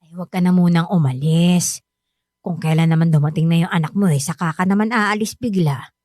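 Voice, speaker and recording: A woman's voice: lowish voice, a little fast, darkish tone, no echo, studio quality, close to an everyday voice. An actress playing a middle-aged mother in a radio drama, firm, coaxing and insistent. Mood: coaxing, chiding.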